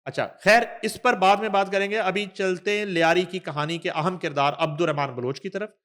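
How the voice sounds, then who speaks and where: A man with a Pakistani accent hosting a news talk show, reading an article aloud and adding conversational asides in an emphatic, deliberate manner. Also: high voice, steady tone, fairly bright tone.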